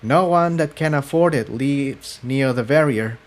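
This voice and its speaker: A man's voice: medium pitch, unhurried, close to an everyday voice. A man voicing a female pony defector in a story, weary and hesitant, choosing words carefully. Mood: hesitant, sad.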